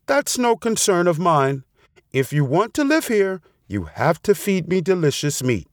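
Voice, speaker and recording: A man's voice: high-pitched, sing-song, a little slow, no echo, clean studio sound, pitched above the performer's own voice. A man voicing a wily, haughty female fox who bullies and schemes, in a storybook tale. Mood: smug, bargaining. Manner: haughty, bullying. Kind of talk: storybook character voice.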